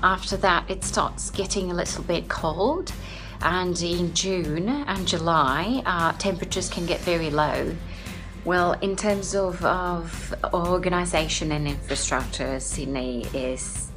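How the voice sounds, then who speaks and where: A young adult Brazilian woman and English teacher answering interview questions earnestly, with many "uh" fillers. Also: fairly low pitch. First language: Portuguese. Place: home in Sydney.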